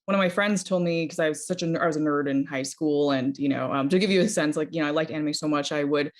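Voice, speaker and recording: A woman's voice: deep voice, fast talker. A young woman from Utah, chatting as an interview guest, bubbly with lots of ums and small laughs. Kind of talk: casual interview chat.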